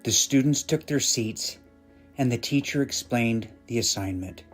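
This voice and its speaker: A man's voice: medium pitch, a little slow, faint music behind. A man narrating a scripted short story as the storyteller.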